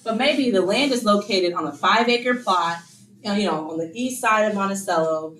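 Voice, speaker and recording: A woman's voice: mid-range voice, normal speed, a bit bright. Woman workshop presenter, chatty, rhetorical questions, echoey room, distant mic, faint hiss. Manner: conversational, frequent fillers and rhetorical questions. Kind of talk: casual workshop lecture. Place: meeting room, in front of a screen. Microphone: far room camera.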